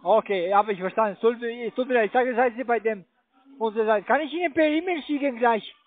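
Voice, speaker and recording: This man's voice is extremely high and squeezed through a tinny phone line. He speaks German with a foreign accent. As a call center agent, he talks haltingly, piecing his sentences together. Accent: foreign, non-native German. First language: not German.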